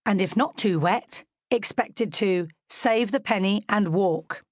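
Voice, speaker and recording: A British woman narrates a storybook as a scripted audiobook. Her voice sits at a middling pitch, and the recording is dry, with very little room around it. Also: takes it slowly, dry recording.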